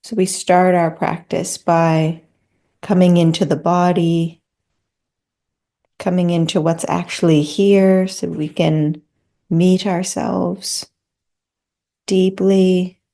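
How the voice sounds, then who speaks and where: A woman guides a meditation in a gentle, soothing way. Her voice sits a little low and is dark and relaxed, with noticeable creak. She takes her time with the phrases. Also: slow pace, long pauses.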